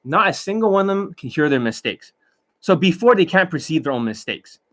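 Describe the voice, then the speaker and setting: A man with a somewhat high voice rants through a dating-coach lecture. He is blunt and profane, jumping from loud outbursts to low, grumbling mutters as he tears into his subject. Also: big pitch swings, fairly quick. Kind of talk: ranting monologue lecture.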